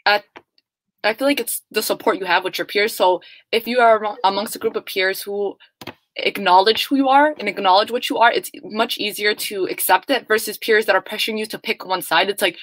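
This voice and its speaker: Fast-talking young woman, Somali native speaker. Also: medium pitch, a bit bright, dry recording, poor audio. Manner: earnest, speaks in long run-on sentences. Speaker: panel speaker. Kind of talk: earnest personal reflection.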